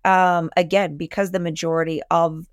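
A woman's voice: lowish voice, little pitch movement, normal speed, clean tone, no room sound, studio quality. A woman speaking as an expert guest, conversational but earnest, emphatic and articulate. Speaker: fintech industry expert guest.

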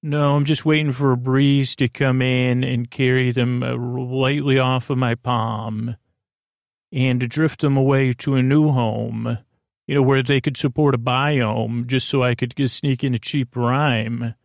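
Man, gravelly creak, dry close sound, gentle, reassuring, halting, meandering sleep-podcast monologue. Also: mid-range voice, steady tone, no room sound. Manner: meandering, halting, trails off mid-thought. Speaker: podcast host. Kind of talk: rambling bedtime monologue for sleep.

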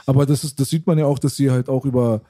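A man's voice: medium pitch, fairly even intonation, fast talker, dark tone. A man in a casual chat about rap, talking in loose slang and rambling on, tossing in "bro" a lot. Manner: slangy, rambling, says "Digga" and "Bruder" often.

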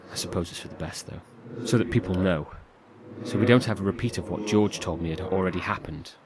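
Weary, haunted low-voiced man acted, noisy ambience. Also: close to an everyday voice. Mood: reluctant, grave. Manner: halting, confiding, with sighs and swearing. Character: weary, frightened male survivor trapped in darkness. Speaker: actor in a horror audio drama. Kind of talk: first-person horror audio drama monologue. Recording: clearly noisy, rumbling ambience.

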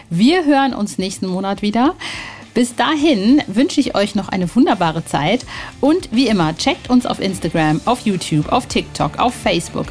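A woman's voice: medium pitch, a little mellow. A woman hosting a podcast in a casual, colloquial way, gushing like an enthusiastic fan. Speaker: podcast host. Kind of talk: casual, colloquial interview chat.

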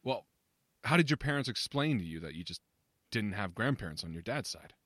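Actor as a probing man with lively, low voice. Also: a little fast, dry recording. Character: probing, slightly impatient male confidant. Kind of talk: naturalistic audio drama acting.

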